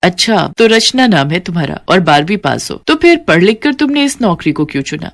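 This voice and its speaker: Woman playing a brisk, businesslike lady employer. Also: on the low side, big pitch swings. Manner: businesslike, authoritative. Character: brisk working mother, demanding but fair employer. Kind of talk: acted dialogue in a Hindi cartoon story.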